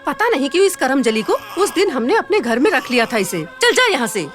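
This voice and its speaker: An actress playing a harsh stepmother who scolds loudly and coos over her own son. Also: brisk, soft background music. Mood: furious scolding. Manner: scolding, shrill, lively pitch. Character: harsh adult stepmother, cruel and shrill. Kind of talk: melodramatic web drama acting.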